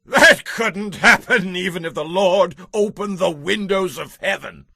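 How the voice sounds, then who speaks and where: A man playing an excitable royal servant in a dramatized audio Bible, exclaiming theatrically. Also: high-pitched, unhurried, gravelly, dry recording, studio quality, full character voice. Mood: scornful. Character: excitable male royal servants and officers, deferential.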